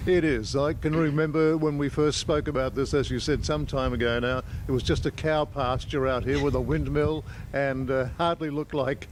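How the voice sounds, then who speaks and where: A man's voice: medium pitch, fairly even intonation, normal speed, few pauses. An Australian man reporting on location and interviewing in an effusive, conversational manner. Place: outdoors at a school site. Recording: outdoor location with faint ambient noise.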